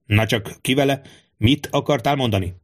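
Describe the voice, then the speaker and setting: A man's voice: medium pitch, pitched above the performer's own voice, natural voice. A man playing a suspicious, scheming king in an audiobook, speaking in a theatrical, musing and fatherly way. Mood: fatherly, persuasive.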